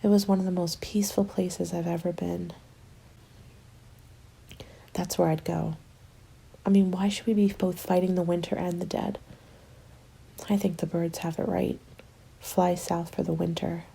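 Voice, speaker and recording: A woman as a husky, hushed, weary apocalypse survivor. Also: fairly low pitch, level tone, a little slow, pauses often, full character voice, rough recording. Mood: wistful. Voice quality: soft, breathy, husky. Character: weary, stir-crazy woman survivor of a zombie winter. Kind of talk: soft-spoken ASMR roleplay monologue.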